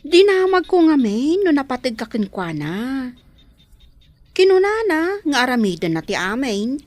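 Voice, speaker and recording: A woman's voice: high-pitched, sing-song, measured pace, a little mellow, dry recording. A woman with an Ilocano accent acting a meddling, motherly household helper in a radio drama. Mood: coaxing.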